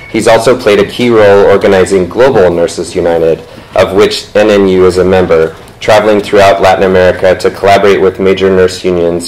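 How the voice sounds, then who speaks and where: A man's voice: low-pitched, darkish tone, low-quality recording. A man in his thirties, the moderator at a talk, reading panelist introductions from notes and sometimes stumbling over words. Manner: reads from notes, stumbles and self-corrects. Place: lecture room, indoors.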